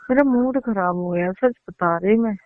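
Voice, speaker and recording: A woman's voice: mid-range voice, moderate pace, no room sound, lightly acted. A woman playing an upset, whiny customer complaining sulkily to her tailor in a casual phone conversation. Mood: angry, exasperated. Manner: complaining, sulky. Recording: muffled, band-limited phone line.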